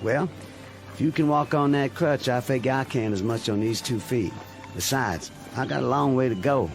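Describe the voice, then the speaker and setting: An actor playing a polite, wounded young Confederate soldier with a Southern American accent, in humble radio drama style. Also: male voice, medium pitch, normal speed, gravelly, lightly acted. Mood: determined. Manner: deferential, plain-spoken country talk. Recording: music behind the voice.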